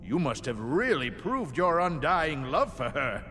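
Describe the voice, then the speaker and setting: A jovial old storybook king, high-pitched and swooping. He is a pompous, doting father with grand delivery, slow and ringing through a great hall, as in a cartoon video game. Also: sing-song, sharp tone, a little hiss or hum, full character voice. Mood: pompous, proud. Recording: long echo of a great hall.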